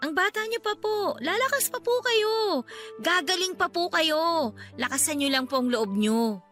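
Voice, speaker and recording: A woman's voice: extremely high pitch, animated, measured pace, pushed and bright, faint music behind, heavily acted. A woman playing a devoted teenage girl in a Tagalog radio drama, speaking respectfully with earnest emotion. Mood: pleading, tearful. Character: devoted, pleading teenage girl caring for her sick nanny.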